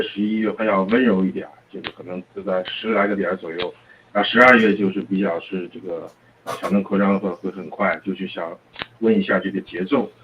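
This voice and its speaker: A man who is a native Chinese speaker, asking questions as an analyst on a conference call. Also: sits low. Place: remote phone conference. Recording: dull conference-call line with faint noise.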